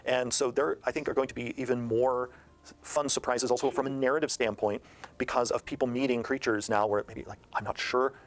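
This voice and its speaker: A man's voice: mid-range voice, fairly even intonation, fairly quick. A man in an unscripted promotional discussion, explaining in long sentences built on examples.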